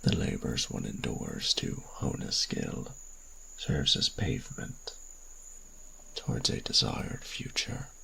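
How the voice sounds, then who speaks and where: Stern vampire priest, extremely low pitch, slow measured delivery, raspy breathy rasp, formal archaic wording, audio drama. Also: male voice, sharp tone, full character voice, rough recording. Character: formal vampire priest, male agent of a church, stern and condescending. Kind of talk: scripted audio roleplay drama.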